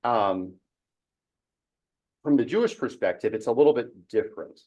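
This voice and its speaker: A man leading a church study group, explaining in casual, halting speech with many ums and restarts. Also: medium pitch, lively intonation, warm tone, highs cut off. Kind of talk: casual teaching talk.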